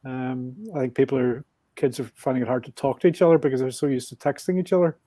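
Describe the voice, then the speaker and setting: A man in his sixties with a Belfast Northern Irish accent, a podcast guest reflecting on things in a measured, thinking-aloud way. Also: mid-range voice, fairly even intonation, dark tone, dry recording. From Northern Ireland. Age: 60s. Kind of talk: casual interview talk.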